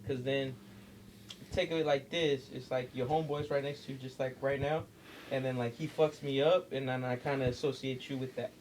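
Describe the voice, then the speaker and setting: Dude rambling in slang on a podcast, ordinary pitch, faint noise in the room. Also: male voice, steady tone, moderate pace, darkish tone. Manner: loose, slangy storytelling with many fillers. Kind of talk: casual group chat.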